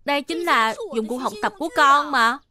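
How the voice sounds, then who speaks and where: A woman playing an earnest, excitable young schoolboy in a dubbed children's cartoon. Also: high-pitched, moderate pace. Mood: pleading. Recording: music behind the voice.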